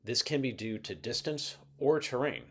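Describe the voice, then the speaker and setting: A man reading scripted instructional narration in a measured, methodical, explanatory way. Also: mid-range voice, big pitch swings, fairly bright tone, slightly rough.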